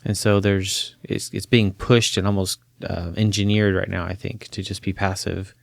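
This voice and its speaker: A man in his forties who grew up in Chile, a counseling professor talking as a podcast guest, earnest and animated, laughing easily. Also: low-pitched, moderate pace, gravelly, no room sound. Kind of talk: interview conversation. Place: podcast studio.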